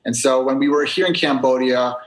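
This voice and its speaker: A young American man as a podcast guest, talking casually and rambling with lots of fillers in quick bursts. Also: mid-range voice, steady tone, rough recording. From United States (New Hampshire, Maine). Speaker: guest vlogger. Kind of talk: casual interview chat.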